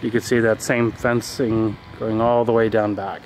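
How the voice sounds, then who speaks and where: A man in his forties giving a casual, relaxed walking tour of his homestead, thinking aloud with fillers as he films himself on a handheld camera. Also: fairly low pitch, moderate pace, slight background noise, low-quality recording. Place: outdoors and in farm buildings. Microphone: handheld camera mic, filming himself.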